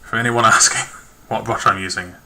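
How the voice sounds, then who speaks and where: A man's voice: on the low side, steady tone, fairly quick, noisy background. A man live-streaming his miniature painting at a home desk, muttering to himself and chatting to viewers. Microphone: webcam perched on a lamp.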